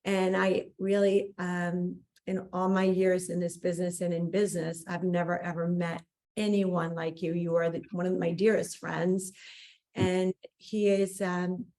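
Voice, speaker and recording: A woman hosts a call and gives an effusive, emphatic motivational introduction. Her voice is lowish with a gravelly fry. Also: flat delivery, measured pace, mellow. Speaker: call host.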